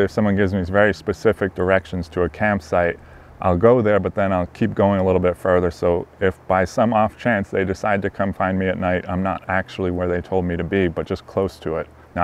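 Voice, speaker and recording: A man in his thirties with a low, deep voice talks casually to a camera held close, outdoors at a campsite. He sounds relaxed and conversational, dropping easy ums and uhs as he shares tips. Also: a little fast. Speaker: motorcycle camping vlogger. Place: outdoors in a sunny wooded campsite.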